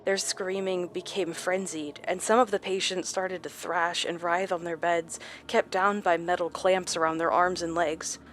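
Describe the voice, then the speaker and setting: An actress playing a shaken woman recounting her captivity in a horror audio drama, halting and dramatic with deliberate pauses. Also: on the low side, flat delivery, fairly bright tone, a little hiss or hum, natural voice. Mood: tense, haunted. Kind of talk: first-person horror audio drama narration.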